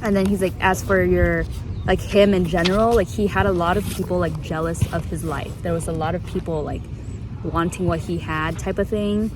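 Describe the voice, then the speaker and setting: A young woman with a slightly low voice talks in a casual, rambling vlog style. She sounds giggly and informal, retelling things as if to a friend. There is clear ambient noise behind her. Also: steady tone, moderate pace. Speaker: vlogger.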